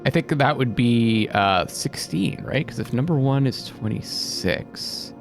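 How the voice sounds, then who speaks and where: A man with a mid-pitched, very lively voice talks through a game as its host. He muses aloud in a casual way, often questioning himself, with music playing behind him. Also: measured pace, vocal fry. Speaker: let's play host. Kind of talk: casual gaming commentary, thinking aloud and reading out game text.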